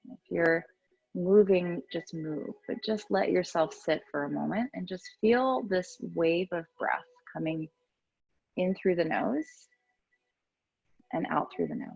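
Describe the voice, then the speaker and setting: A woman teaching yoga and meditation, giving gentle, soothing, unhurried guidance with pauses between instructions. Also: fairly low pitch, creaky, breathy edge, no room sound, highs cut off.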